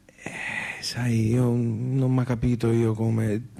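A man acting a grieving small-town Tuscan in a stage drama. He speaks slowly at a middling pitch, haltingly, circling back over his words and trailing off in resignation. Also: flat delivery, creaky, warm tone, full character voice. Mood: wistful, rambling. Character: mournful, resigned provincial man grieving a dead relative. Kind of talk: naturalistic stage dialogue.

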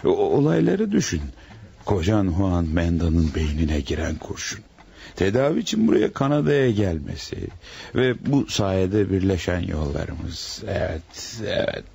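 Radio drama, male: tipsy scheming lover, low gravelly voice, swooping intonation. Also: big pitch swings, measured pace, dark tone, full character voice. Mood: tipsy, amorous. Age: adult, not old. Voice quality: husky, gravelly. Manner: slurred, impassioned, chuckling drunkenly. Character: drunk, besotted, scheming male lover of married woman. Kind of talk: radio play acting.

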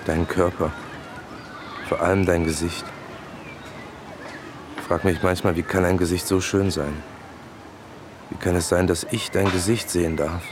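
An actor playing an obsessive male stalker who speaks softly, calmly and with creepy tenderness in a radio play. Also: low-pitched, background noise. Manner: soft, intimate, creepily calm. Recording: with loud music underneath.

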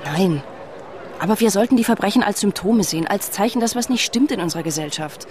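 An actress playing a sharp, outspoken magazine journalist in a radio drama, with a brisk, argumentative manner. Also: female voice, medium pitch, expressive, a little hiss or hum, close to an everyday voice. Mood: challenging.

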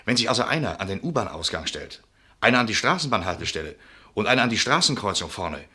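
An earnest, insistent man in a German radio play. His lowish voice is rough-edged and tense, and he talks rapidly, pressing for help. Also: big pitch swings, bright, forceful tone, exaggerated voice. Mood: urgent, planning. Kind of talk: German radio drama acting.

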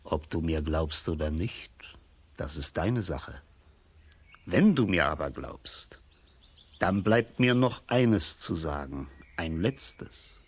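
A man playing a mysterious, solemn old wise man who foretells destiny in a children's radio play, speaking deliberately with a husky voice. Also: sits low, exaggerated voice. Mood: solemn, prophetic. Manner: slow, deliberate, expressive, solemn.

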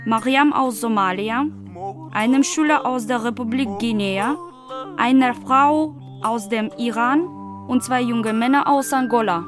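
A woman announcer reading out the credits of a radio production in a slow, measured way. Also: on the high side. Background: music.

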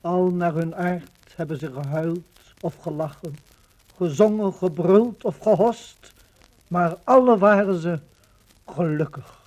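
A man's voice: high-pitched, slow, a little mellow. A man narrating a scripted 1950s radio documentary in a formal, solemn, declamatory way, on a noisy old recording.

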